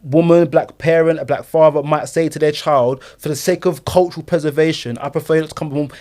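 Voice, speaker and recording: A man co-hosting a discussion, reasoning aloud in rapid bursts with frequent restarts. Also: fairly high pitch, steady tone, darkish tone. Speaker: podcast co-host.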